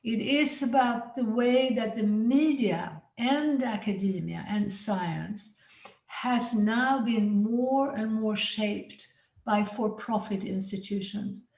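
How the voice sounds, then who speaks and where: A woman from Sweden giving an earnest, deliberate conference talk as an invited speaker. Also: a little high, darkish tone. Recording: echoey conference hall.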